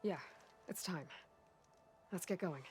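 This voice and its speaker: A woman's voice: lowish voice, slow pace. A woman playing a determined, wry young warrior heroine in a video game, speaking in an earnest, purposeful way. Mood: resolved. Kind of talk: naturalistic video game dialogue acting.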